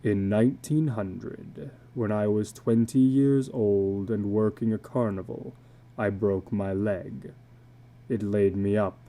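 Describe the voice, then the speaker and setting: Casting: a male reader as a haunted, bitter older carnival drifter from a short story; low, dark voice, grave and brooding. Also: steady tone, slow pace. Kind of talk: dramatic reading of a short story.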